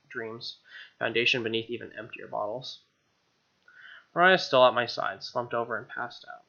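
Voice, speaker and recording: A man's voice: mid-range voice, creaky, pushed and bright, muffled recording. A man hosting a let's play, reading game text expressively with wry, casual asides.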